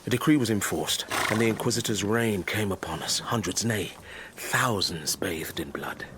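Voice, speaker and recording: An actor playing a proud, devout older Moorish stableman in a period radio drama, solemn, measured and poetic. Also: on the low side, close to an everyday voice. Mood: grave, haunted. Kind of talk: period radio drama acting, lyrical speech. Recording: clearly noisy stable ambience behind.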